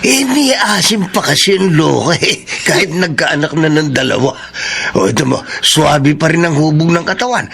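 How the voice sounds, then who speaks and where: An actor playing a lecherous, menacing older drunkard in a Filipino radio drama, with a gravelly voice and a leering, boastful manner. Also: male voice, a little high, animated, moderate pace, fairly bright tone, exaggerated voice. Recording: noisy radio drama broadcast.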